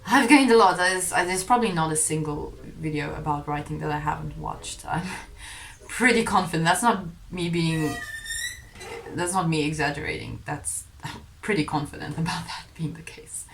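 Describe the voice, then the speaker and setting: A woman's voice: deep voice, normal speed, creaky, a bit bright, a little hiss or hum, low-quality recording. A young woman whose first language is Afrikaans, telling her own story in a candid, self-deprecating vlog and laughing partway through sentences. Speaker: vlogger. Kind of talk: confessional vlog narration. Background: faint music.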